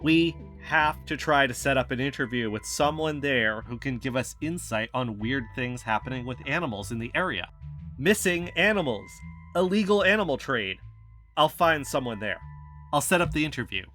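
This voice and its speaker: Bright, insistent man investigator over music acted. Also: medium pitch, animated. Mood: determined. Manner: persuasive, deliberate. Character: Kyle, determined amateur investigator pitching plans. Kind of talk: audio drama dialogue.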